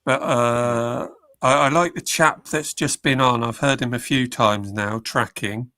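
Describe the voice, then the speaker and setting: A man's voice: medium pitch, fairly even intonation, a little slow, sharp tone, dry recording, clean studio sound. A man with a cold, chatting hesitantly as a guest on a live stream. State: bunged up with a cold. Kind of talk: casual chat.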